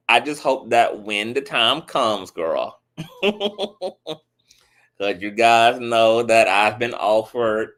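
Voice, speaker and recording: A man's voice: medium pitch, bright, forceful tone. A man hosting a casual livestream chat, sassy and chatty, calling everyone girl and honey. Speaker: host of a commentary livestream.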